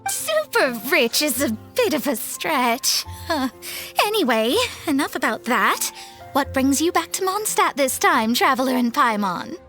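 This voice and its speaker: A woman voicing a proud young female astrologer with a self-important, formal, precise manner in an animated game. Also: sits high, a little slow, over music, heavily acted. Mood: dismissive, curious.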